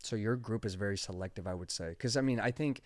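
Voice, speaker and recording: A man's voice: on the low side, quick pace, no room sound. A man in his twenties hosting a podcast, telling stories in an earnest, rambling way with many restarts. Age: 20s. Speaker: podcast host. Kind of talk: casual interview chat.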